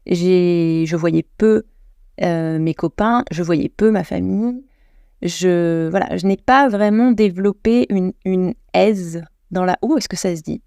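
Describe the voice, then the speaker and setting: A woman's voice: fairly low pitch, measured pace, gravelly, mellow, clear, resonant tone, no echo. Chatty French woman, dry close sound. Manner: chatty, self-deprecating, many restarts and self-corrections. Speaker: podcast host. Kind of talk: solo podcast monologue.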